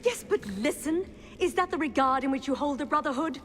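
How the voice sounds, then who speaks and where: An actress playing a stern, authoritative council leader in a video game cutscene, with formal, imperious diction. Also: female voice, sits high, expressive, a little fast, a little hiss or hum. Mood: indignant. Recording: long echoing hall reverb.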